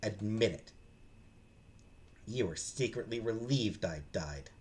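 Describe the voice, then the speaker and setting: Give the part of a vengeful dead mother haunting a girl's head, acted by a man. The voice is accusing, swinging between shouts and hisses, with wild changes in pitch over music. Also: lively intonation, takes it slowly. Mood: bitter accusing.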